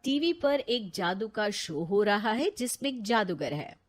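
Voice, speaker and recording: A woman narrates a cartoon storybook in an even, matter-of-fact tone. Her voice sits at an average pitch for a woman. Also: a little slow.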